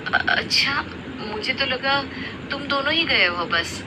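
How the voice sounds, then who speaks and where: A woman playing a restrained, modest elder sister-in-law from a poor family in a moral story, plain-spoken and measured. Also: high voice, animated, background noise. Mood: surprised, hesitant. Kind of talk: Hindi moral story acting.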